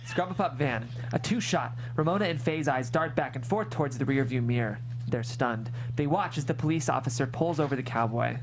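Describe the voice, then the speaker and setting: A woman's voice: very deep voice, a little fast, few pauses. A woman narrating stage directions from a screenplay at a table read. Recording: clear background hum and noise.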